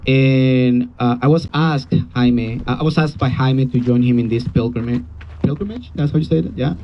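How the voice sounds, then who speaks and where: A man's voice: medium pitch, moderate pace. A man from Colombia with a Colombian accent and Spanish as his first language, giving an informal, personable speech as a community organizer. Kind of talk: informal public rally speech. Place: outdoor rally near a road. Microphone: handed-around PA microphone. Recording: dull lo-fi audio, faint vehicle noise.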